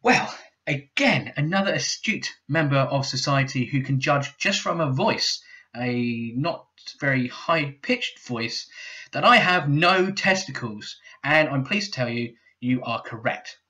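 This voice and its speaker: A man's voice: medium pitch, expressive, a little slow, pushed and bright. A British man in casual commentary, answering viewer comments with dry, sardonic asides. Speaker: YouTuber reading viewer comments. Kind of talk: sarcastic comment-reading commentary.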